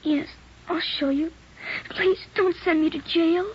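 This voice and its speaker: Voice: extremely high pitch, steady tone, unhurried. An actor in a 1940s radio drama playing a frightened, guilt-ridden nine-year-old boy, pleading and earnest. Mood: scared, remorseful. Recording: dull noisy 1940s radio recording.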